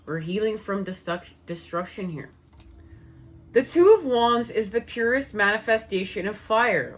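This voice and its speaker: A woman giving a spiritual tarot reading, her voice worn and fading, speaking in slow, soothing, repetitive phrases. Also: mid-range voice. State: losing her voice. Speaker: tarot reader.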